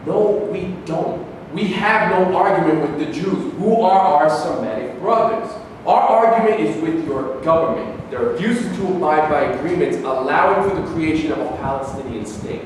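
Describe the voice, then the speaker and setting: Man playing defiant older palestinian guy, combative, voice a bit high, tons of echo, staged reading. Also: expressive, moderate pace, close to an everyday voice. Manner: combative, defensive, declamatory. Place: live staged reading in a reverberant room. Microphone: distant microphone. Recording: echoey hall from far off, noisy.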